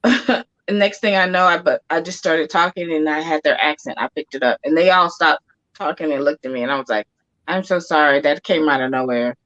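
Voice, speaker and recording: A woman with a low, easy voice and a Texan accent, talking in a relaxed group chat. She laughs as she talks. The sound is dull and lo-fi. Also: fairly quick. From Texas. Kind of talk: casual chat. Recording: dull lo-fi audio.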